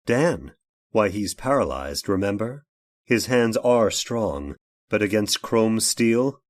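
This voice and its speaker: A low-voiced, haunted man played by a male actor tells his horror tale in old radio drama style. He speaks very slowly and haltingly, an anguished soul turned vengeful, leaving dramatic pauses. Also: very animated. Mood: menacing. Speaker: narrator and lead actor.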